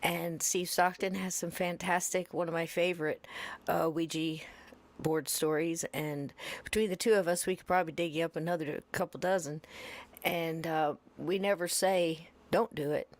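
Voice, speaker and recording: A woman's voice: on the low side, fairly even intonation, normal speed. A woman hosting a paranormal podcast, chatting casually to her live audience. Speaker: podcast host. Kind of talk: casual live-stream chat.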